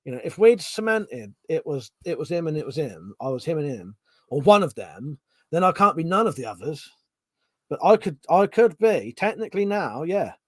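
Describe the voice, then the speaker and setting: Middle-aged male streamer, casual and sweary, animated pitch, dry close mic. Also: moderate pace, no echo. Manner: chatty, swears casually, restarts sentences. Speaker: streamer reading chat. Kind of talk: casual live-stream chat.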